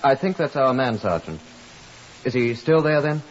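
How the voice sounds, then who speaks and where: An actor playing a stern, impatient police superintendent with a curt, commanding manner in a 1950s radio drama, also narrating. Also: male voice, mid-range voice, animated, moderate pace, lightly acted. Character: stern, impatient male Scotland Yard superintendent. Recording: muffled, hissy 1950s radio recording.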